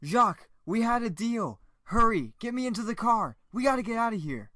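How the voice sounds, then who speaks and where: A man's voice: extremely high pitch, expressive, normal speed, bright, forceful tone, no room sound, studio quality, exaggerated voice. A man playing a vain, evasive, theatrical movie actor in the style of a 1940s radio drama. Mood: panicked.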